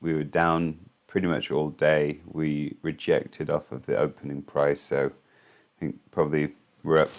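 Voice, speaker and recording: Hoarse man with a very deep voice. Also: flat delivery. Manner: halting, with frequent ums and restarts. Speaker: trading channel host. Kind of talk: casual market commentary.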